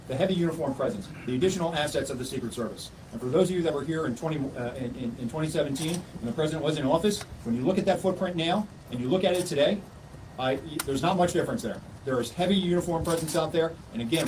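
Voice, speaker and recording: Official guy at a press conference, talks fast, kinda far from the mic, noisy. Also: fairly high pitch, a little mellow. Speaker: Secret Service official.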